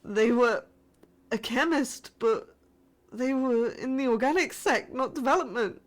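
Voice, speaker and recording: Woman acting a higher-voiced, frightened spaceship crew survivor. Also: lightly acted. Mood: anguished, near tears. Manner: formal reporting that breaks into shaken, halting, emotional delivery. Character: frightened female spaceship crew survivor sending a distress message. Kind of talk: scripted sci-fi horror audio drama monologue.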